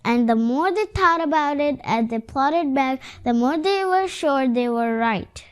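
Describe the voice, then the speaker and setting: A child reads a picture story aloud in a high voice. The delivery is slow and halting, moving between the written tale and simple explanatory asides. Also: animated. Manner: halting, adds own comments on pictures. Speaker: story reader.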